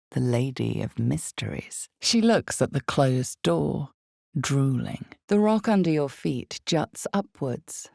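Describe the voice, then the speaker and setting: Dark fantasy game narrator lady, super low gravelly voice, ominous and deliberate, dramatic pauses. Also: dry recording, studio quality, heavily acted. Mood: ominous, measured.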